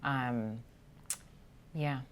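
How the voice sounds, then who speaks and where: Very low-voiced thoughtful woman. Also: steady tone, drawn-out words, a little hiss or hum. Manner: thoughtful, hesitant with many ums. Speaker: actress guest. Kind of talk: casual interview chat.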